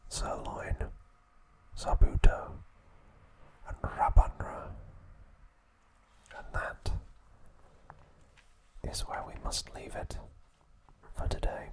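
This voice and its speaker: Slow, whispering ASMR man. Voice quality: soft, breathy whisper. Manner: gentle, soothing, unhurried delivery in short phrases. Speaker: ASMR channel host. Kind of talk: whispered ASMR trivia narration and sign-off.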